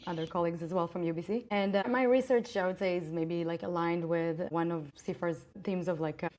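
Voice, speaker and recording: A woman's voice: fairly low pitch, fairly even intonation, a little fast, a little mellow. A woman who speaks Hebrew natively, explaining things conversationally as an interview guest, in plain words peppered with "like". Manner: plain simple wording, frequent "like" fillers. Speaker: academic AI researcher, interview guest.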